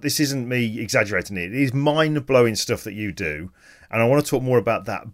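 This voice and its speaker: A man hosting a casual interview show, his pitch rising and falling a lot as he talks. He asks his questions in a chatty, rambling way, circling before he gets to the point. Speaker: podcast host.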